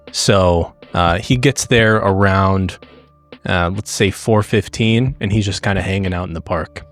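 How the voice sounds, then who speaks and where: A man playing a tabletop game, casually describing his character and haltingly thinking aloud. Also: fairly low pitch. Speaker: player in a role-playing game.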